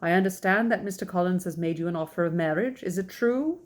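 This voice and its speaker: A woman voicing a calm older gentleman father from a classic novel, deadpan, measured and formal. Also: lowish voice, natural voice. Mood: mock stern.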